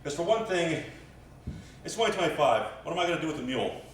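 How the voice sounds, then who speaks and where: A man's voice: on the high side, big pitch swings, quick pace. An American man performing stand-up comedy at an open mic, with a hesitant, rambling delivery full of trailing asides. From West Virginia family, United States. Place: small live comedy venue. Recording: echoey room, distant mic, faint noise.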